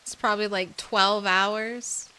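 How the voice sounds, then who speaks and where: A woman streamer chatting softly and casually with her viewers while playing a game, thanking them as she goes. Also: mid-range voice, takes it slowly, clear, resonant tone, low-quality recording. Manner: soft, relaxed, thanks viewers. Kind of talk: casual live-stream chat while gaming.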